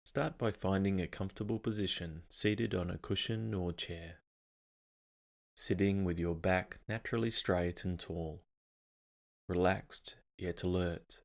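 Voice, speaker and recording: A man narrating a guided meditation as an instructor, calm, soothing and unhurried. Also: deep voice.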